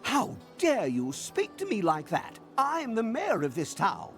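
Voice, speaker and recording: Haughty, high-pitched, animated mayor. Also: female voice, unhurried, soft background music, exaggerated voice. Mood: indignant, alarmed. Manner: pompous, outraged. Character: haughty, indignant, panicky town mayor. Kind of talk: fantasy game character acting.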